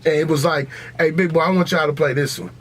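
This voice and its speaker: Podcast co-host: American male, a bit high-pitched, profane and insistent, some background noise. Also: fairly even intonation, fast talker, a bit bright. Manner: blunt, emphatic, repetitive. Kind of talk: casual, profane podcast banter.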